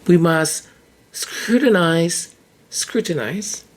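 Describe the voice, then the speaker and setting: A hoarse-voiced podcast host holds forth in a loose, conversational monologue. The delivery wanders, with frequent restarts and doubled words as thoughts take shape. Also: medium pitch, extremely slow. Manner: chatty, restarts and repeats words mid-thought. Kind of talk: casual explanatory monologue.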